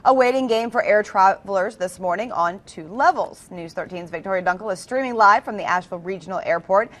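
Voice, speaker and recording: A woman's voice: medium pitch, brisk. Woman news anchor with mid-range voice. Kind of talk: scripted news anchor introductions and handoffs. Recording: faint background noise.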